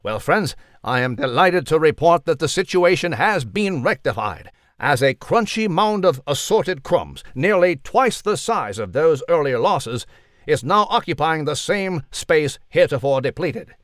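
A man performs a prim little British mouse who delivers mock news for a children's podcast. He is courteous, wordy and pompous. The voice is fairly high and swoops with animation, recorded close and dry. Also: very animated, measured pace, dry recording. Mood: pompous, earnest. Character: prim, eloquent, courteous male mouse newsreader.

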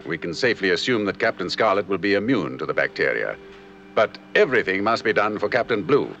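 Casting: formal, clipped military commander, deep-toned, vintage sci-fi television, dull sound with faint noise. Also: male voice, very animated, brisk, fairly bright tone, heavily acted. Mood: formal, measured. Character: grave authoritative male colonel commanding a security organization. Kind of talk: 1960s puppet TV sci-fi acting.

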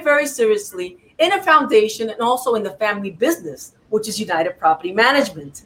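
A woman hosts a talk show and introduces her guest with effusive, repetitive praise. Her voice sits a little high. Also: fairly bright tone, poor audio.

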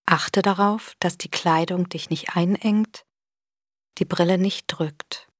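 A woman with a soft, breathy voice gently guiding a body scan meditation in a soothing manner. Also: fairly low pitch, steady tone, dry recording, studio quality. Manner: gentle, soothing, unhurried instruction with long pauses. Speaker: meditation guide. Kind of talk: guided body scan meditation, scripted narration.

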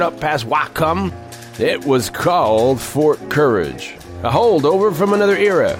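Audio drama narrator: man playing an older reporter, highish voice, emphatic storyteller, over a music bed. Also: natural voice. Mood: measured, mysterious. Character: older male reporter recounting a strange frontier tale. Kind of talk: dramatic scripted first-person narration.

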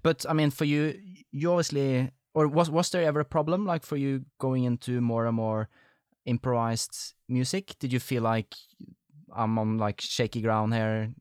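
A man hosts a conversational interview and works his way slowly toward each question. His talk is halting and full of fillers, and the recording sounds dry and close. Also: mid-range voice, steady tone, darkish tone, clean tone, no echo. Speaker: podcast host.

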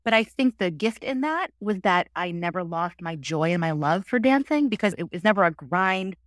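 A woman who is a priest, telling her story as a guest on a podcast in an earnest, expressive way. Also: normal speed, no echo, clean studio sound. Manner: earnest and expressive, with lively pitch jumps. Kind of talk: conversational interview storytelling.

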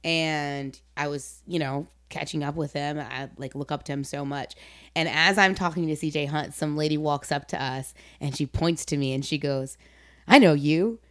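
A woman hosting a casual chat, informal and expressive, using slang and acting out what people said. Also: low voice, normal speed. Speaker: podcast host, comedian.

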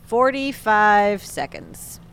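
Theatrical, tart older landlady mother; breezy, animated, slight background ambience. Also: medium pitch, slow, gravelly, noisy background, exaggerated voice. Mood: tart, teasing. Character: sharp-tongued, eccentric older mother running a boarding house. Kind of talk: naturalistic audio drama acting.

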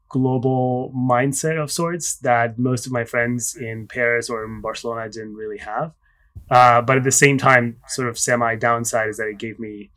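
A man's voice: mid-range voice, a bit bright. Raised man, reflective founder. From Spain. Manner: reflective, with many fillers and pauses. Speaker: startup founder guest. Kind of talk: casual interview talk.

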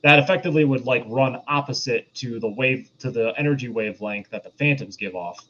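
A man's voice: medium pitch, fairly quick, a bit bright. Man on a dull, slightly noisy mic. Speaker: podcast co-host. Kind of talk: casual film discussion. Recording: dull lo-fi mic, faint noise.

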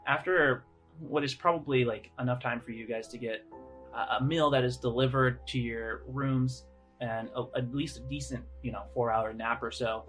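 A man's voice: mid-range voice, fairly even intonation, fairly bright tone. Man narrating a tabletop game over music. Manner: halting, conversational descriptions. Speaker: game master. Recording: music playing under the voice. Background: background music.

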